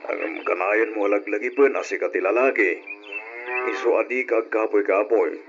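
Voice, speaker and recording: A man's voice: lowish voice, normal speed, background noise, close to an everyday voice. An actor playing an ailing, stern but loving old rural father in an Ilocano radio drama, weary and admonishing. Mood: earnest, admonishing. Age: older. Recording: dull lo-fi old radio recording.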